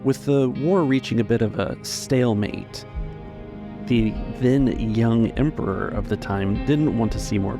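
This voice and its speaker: Guy game master w a low creaky voice, telling the story measured, music under it. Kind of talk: narrating a tabletop roleplaying game. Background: background music.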